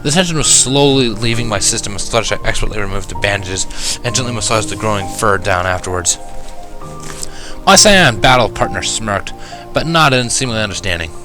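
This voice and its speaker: A man's voice: on the low side, animated, fairly quick, music in the background. A man reading scripted first-person fan fiction as the narrator.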